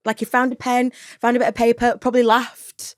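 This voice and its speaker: A young woman with a Yorkshire accent, a podcast guest telling a spooky story in lively, casual chat with frequent laughs. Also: on the high side, a little fast, dry recording, studio quality. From Yorkshire, England. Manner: animated, laughs and gasps often. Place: podcast studio. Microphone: studio microphone.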